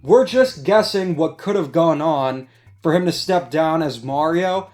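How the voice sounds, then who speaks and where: A man's voice: fairly high pitch, expressive, unhurried, darkish tone. A man hosting a casual discussion, giving commentary over background music. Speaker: host. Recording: music playing behind the voice.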